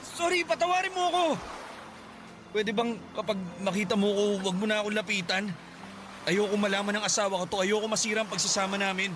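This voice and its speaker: An actor playing a selfish young Filipino lover caught cheating, confessing and apologetic in a radio drama. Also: male voice, sits high, brisk, background noise, close to an everyday voice. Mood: pleading. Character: selfish cheating married lover, guilty and pleading.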